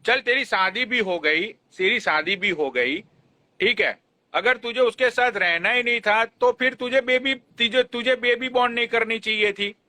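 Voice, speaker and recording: High, bright-voiced young man pleading emphatically. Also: moderate pace, no echo. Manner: emphatic, repetitive, informal pleading. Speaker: live stream host. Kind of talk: casual live chat, admonishing. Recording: live stream audio.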